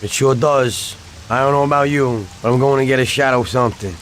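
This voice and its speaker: An actor voicing a tough, hot-tempered mobster in a video game cutscene, with a blunt, streetwise way of talking. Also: male voice, medium pitch, a little fast, a bit bright, close to an everyday voice. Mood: bitter, weary. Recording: rain and noise behind.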